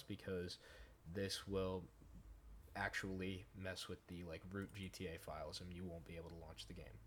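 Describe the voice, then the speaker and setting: A man hosting a software tutorial, explaining each step informally in a casual, conversational way. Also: low-pitched. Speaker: tutorial host. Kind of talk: casual screen-recorded software tutorial narration.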